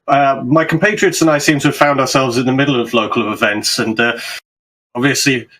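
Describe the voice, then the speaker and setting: A man playing an intense, agitated investigator who speaks in a halting, formal and insistent way. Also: medium pitch, fairly bright tone, slight background noise, natural voice. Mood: tense, halting. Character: intense, wound-up male investigator, curt and agitated. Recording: music behind the voice.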